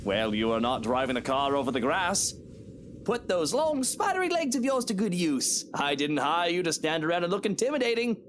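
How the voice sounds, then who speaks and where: A man's voice: high voice, very animated, moderate pace, background noise. An actor voicing a bossy, wealthy male estate owner in a video game, brash and teasing in his commands.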